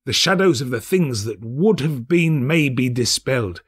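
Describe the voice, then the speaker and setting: A man voicing a giddy, overjoyed old miser reformed overnight, excitable, breathless and full of exclamations, in a storybook reading. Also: mid-range voice, normal speed, husky. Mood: tearful relief.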